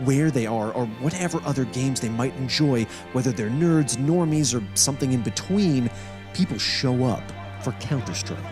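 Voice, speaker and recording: A man's voice: medium pitch, normal speed. Polished male documentary narrator over music. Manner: dramatic, polished storytelling delivery. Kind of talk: scripted narration. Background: background music.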